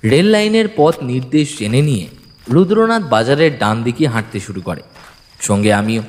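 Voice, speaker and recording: A man's voice: mid-range voice, lively intonation, normal speed. A man narrating a detective audio story in the first person, suspenseful and dramatic. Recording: long reverb, music bed. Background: music.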